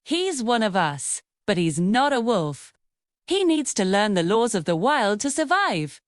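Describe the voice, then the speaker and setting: A woman's voice: mid-range voice, sing-song, a little slow, dry recording, clean studio sound. A woman voicing a wise male wolf pack leader in a children's storybook cartoon, speaking in a solemn, announcing way.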